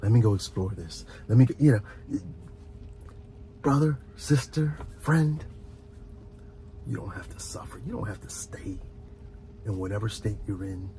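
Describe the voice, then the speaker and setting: A man's voice: lowish voice, expressive, measured pace, long pauses, dark tone, a little hiss or hum, low-quality recording. A man giving a rambling, motivational ASMR talk in an earnest, encouraging way, repeating phrases for emphasis. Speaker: ASMR video host.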